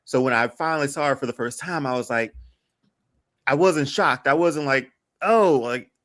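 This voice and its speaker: A man's voice: medium pitch, moderate pace, a bit bright, no echo, studio quality. A man talking casually as a podcast panelist, thinking aloud in a conversational way. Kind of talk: casual pop-culture discussion.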